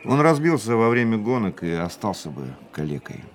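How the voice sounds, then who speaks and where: An actor playing a fatalistic, world-weary racing driver in a Russian radio drama, speaking in a measured, reflective way. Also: male voice, on the low side, very animated. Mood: weary, philosophical. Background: music.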